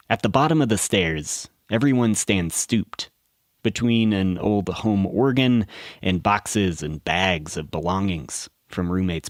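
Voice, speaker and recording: Low-pitched man narrating, close and dry. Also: no echo. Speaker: narrator of an audio documentary podcast. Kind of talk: scripted narration.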